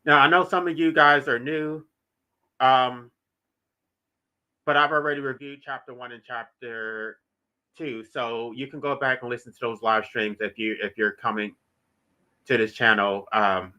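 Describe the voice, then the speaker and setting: A man's voice: medium pitch, steady tone, a little slow, pauses often, bright, forceful tone, low-quality recording. A congested man with a nasal voice hosts a solo livestream, reading his own writing aloud and then explaining it in plain, emphatic terms. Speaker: author and channel host.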